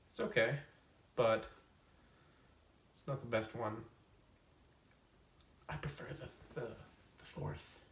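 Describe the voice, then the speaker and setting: A man hosting a comedic storytime video, casually summing up a book to camera with tongue-in-cheek, deadpan asides. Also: mid-range voice, recorded from a distance. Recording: roomy echo, off-mic, faint hiss.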